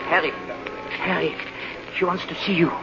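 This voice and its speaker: An anxious French hotel keeper pleads in a high, creaky voice. It is 1940s radio drama, muffled, with music behind. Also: male voice, steady tone, moderate pace. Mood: urgent, pleading.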